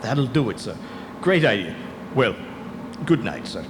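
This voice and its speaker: An actor playing a deferential, anxious assistant lighthouse keeper in a radio drama, with a hesitant manner. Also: male voice, medium pitch, very animated, measured pace. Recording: long hollow reverb, faint hiss.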